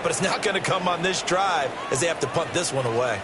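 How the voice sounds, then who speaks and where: A man's voice: fairly high pitch, fast talker, heavy background noise, lightly acted. A man playing an American football colour commentator in a video game, analytical and conversational in delivery. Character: male American football analyst, measured and explanatory. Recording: loud music under commentary. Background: loud music.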